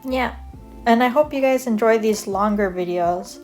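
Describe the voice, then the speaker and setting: A woman's voice: on the high side, normal speed. A young woman from Canada chatting casually as she draws, rambling with lots of fillers and self-corrections. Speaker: art vlogger. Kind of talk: casual chat over a draw-with-me video. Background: soft guitar music.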